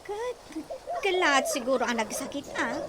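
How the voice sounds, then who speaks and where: A fretful young village woman exclaims her way through every worry, as an actress plays her. Her voice sits high and swings widely in pitch. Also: normal speed, background noise. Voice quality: excitable, exclaiming. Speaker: villager in a radio comedy drama. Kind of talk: radio drama acting.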